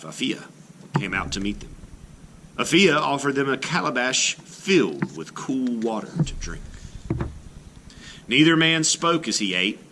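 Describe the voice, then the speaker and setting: A man reading a children's story aloud in an expressive, theatrical style, like a teacher to a class. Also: medium pitch, a little hiss or hum. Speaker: art teacher reading a picture book aloud.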